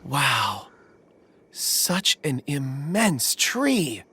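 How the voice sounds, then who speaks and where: A man's voice: mid-range voice, sing-song, very slow, noisy background. An actor, a man, playing a mild, reflective investigator in a video game, formal and a little hesitant. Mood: thoughtful. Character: mild, thoughtful, hesitant mineral-reading investigator. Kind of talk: video game character acting.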